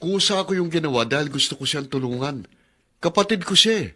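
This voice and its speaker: An earnest, protective Filipino brother in a radio drama. His voice is slightly high and forceful, with an exasperated edge. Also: lively intonation, fairly quick. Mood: defensive.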